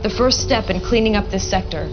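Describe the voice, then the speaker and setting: A woman's voice: mid-range voice, brisk, natural voice. A woman playing a serious military intelligence officer, giving a matter-of-fact mission briefing in a game cutscene. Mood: serious, businesslike. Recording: dull lo-fi audio with music.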